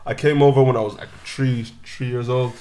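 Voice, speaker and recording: A man in his thirties, born in Nigeria and raised in Ireland, chatting as a podcast guest in a small studio on a boom-arm desk mic, telling stories loosely with lots of "bro" and restarts. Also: steady tone, warm tone. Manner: fast, loose storytelling full of "bro" and restarts. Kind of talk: casual chat.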